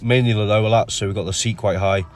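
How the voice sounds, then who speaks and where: A man with a nasal voice from a cold, explaining his gym workout in a relaxed voice-over full of ums. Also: fairly low pitch, quick pace. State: has a cold. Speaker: bodybuilder narrating his workout. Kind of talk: casual voice-over commentary.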